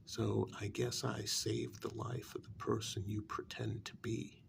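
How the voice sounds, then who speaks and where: A man playing a bitter, sarcastic kidnapper in a soft-spoken ASMR role-play, halting and half-whispered, with a gravelly voice. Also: lowish voice, flat delivery, background noise. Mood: resentful. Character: bitter, sarcastic male kidnapper confronting a demon.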